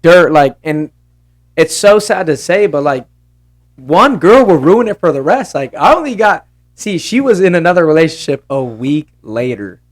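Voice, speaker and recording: A man's voice: animated, moderate pace. A man in his twenties chatting openly on a podcast, often laughing and quoting others. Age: 20s. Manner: candid, laughs often, quotes others in lowered voice. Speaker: podcast guest. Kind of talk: casual chat.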